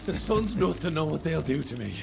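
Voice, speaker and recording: A man's voice: a little high, animated, a little fast, gravelly, music in the background. An actor playing a cowardly, foppish male vampire with a prissy, mannered way of talking, in a video game cutscene. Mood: sneering.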